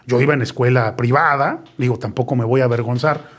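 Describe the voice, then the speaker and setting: A man's voice: mid-range voice, steady tone, fairly quick. A man in his forties with a Mexico City accent, hosting a casual chat and wandering off on tangents, often tagging his points with a question. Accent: Mexico City Spanish. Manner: chatty, digressive, tags phrases with "¿no?". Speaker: podcast host.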